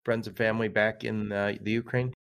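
A man's voice: fairly low pitch, flat delivery, brisk, gravelly, fairly bright tone. A man hosting a podcast, asking rambling conversational questions with false starts. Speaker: podcast host. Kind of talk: podcast interview.

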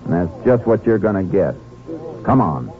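A man playing a steady, terse Texas Ranger in a 1950s radio western. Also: low-pitched, normal speed, very noisy. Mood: terse, alert. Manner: terse, matter-of-fact. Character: steady, laconic Texas Ranger lawman telling his case. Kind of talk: 1950s radio drama acting and narration. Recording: narrow old radio recording with music.

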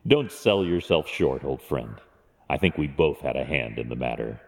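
An actor playing a brooding, driven masked vigilante in an audio drama, grave and measured. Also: male voice, extremely low pitch, animated, vocal fry, heavily acted. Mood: quietly reflective. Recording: long cavernous hall reverb.

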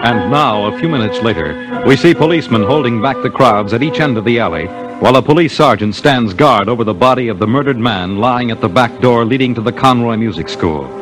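An American actor as a solemn radio mystery narrator speaks in formal 1950s broadcast style. Music plays prominently behind his measured, scripted narration. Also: mid-range voice, lively intonation, lightly acted, rough recording. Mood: grave, dramatic. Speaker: radio drama announcer and narrator.

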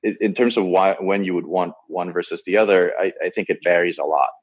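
A man's voice: low-pitched, fairly even intonation, husky, no echo, muffled recording. A man from the Dominican Republic whose first language is Spanish, speaking as an interview guest with frequent restarts and fillers. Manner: halting, many restarts and fillers. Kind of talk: thoughtful interview talk.

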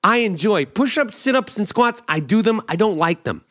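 A man with a notably high voice talks through a loose comedic monologue as a podcast host. He rambles, swears freely and pokes fun at himself. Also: lively intonation, moderate pace, a bit bright. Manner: rambling, profane, self-mocking.

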